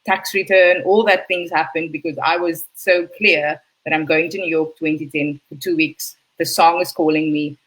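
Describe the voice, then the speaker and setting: A woman from South Africa with a South African accent, a guest in a casual interview, telling her story in a chatty, lively way. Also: deep voice, moderate pace, few pauses, breathy edge, poor audio. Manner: chatty, animated storytelling with laughs.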